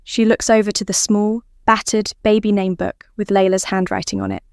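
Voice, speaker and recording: Female story narrator for fan fiction, clear and smooth, mid-pitched, dry close sound. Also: very animated, normal speed, no room sound.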